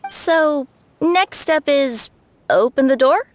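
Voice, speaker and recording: A woman's voice: high voice, animated, takes it slowly, no echo. A woman voicing a small, cheerful, childlike adventure companion in a video game, eager and cute. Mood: curious. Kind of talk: video game character acting.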